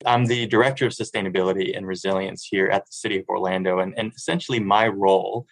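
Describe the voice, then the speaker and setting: A man's voice: sits low, fairly even intonation, fast talker, vocal fry, no echo. A man in his thirties from Miami, a city sustainability director answering interview questions in an earnest, fluent way with small restarts. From Miami, Florida.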